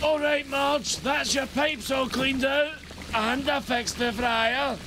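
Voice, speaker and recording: High-pitched old Scottish handyman. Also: fairly even intonation, takes it slowly, noisy background. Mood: obliging. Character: grumbling old male handyman, gruff but obliging. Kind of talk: cartoon game voice acting.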